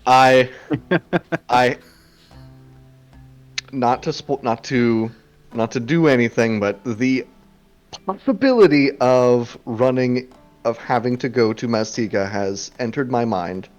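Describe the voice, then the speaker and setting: A man's voice: medium pitch, takes it slowly, pauses often, soft background music. Hesitant man running a tabletop game. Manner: hesitant, with many restarts. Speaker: game master.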